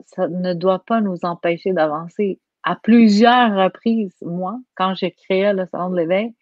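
A woman's voice: fairly low pitch, no room sound, lo-fi sound. A woman from Quebec with a Québécois accent, speaking earnestly and emphatically as a guest in a conversational interview.

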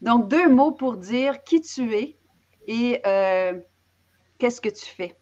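A woman's voice: a little high, expressive, slow, mellow. A woman from Belgium hosting an online interview, speaking with frequent fillers and restarts as she introduces the topic and her guests. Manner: hesitant, many fillers and restarts. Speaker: host and interviewer. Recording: slightly dull lo-fi audio.

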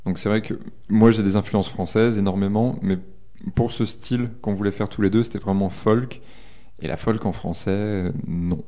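Very deep-voiced male musician. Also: fairly even intonation, moderate pace, dark tone. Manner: earnest, repeats words while explaining. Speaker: musician in a folk duo. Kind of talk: casual radio interview.

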